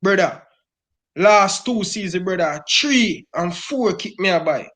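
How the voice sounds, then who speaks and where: High-voiced Jamaican man ranting. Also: animated, unhurried, fairly bright tone. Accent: Jamaican Patois. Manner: emphatic, repeats "brother" constantly. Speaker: football fan guest. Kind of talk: impassioned fan rant.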